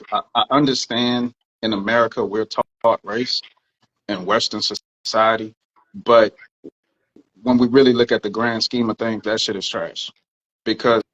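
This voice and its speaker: Low-voiced man explaining earnestly. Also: a bit bright, dull audio. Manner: earnest, explanatory, sometimes swearing. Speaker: podcast co-host. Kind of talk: casual podcast discussion.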